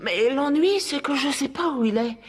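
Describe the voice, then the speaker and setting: High-pitched, greedy, hesitant cartoon boy. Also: expressive, moderate pace. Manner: hesitant, musing to himself. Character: gluttonous, hesitant young schoolboy, scheming but easily flustered. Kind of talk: animated cartoon dubbing.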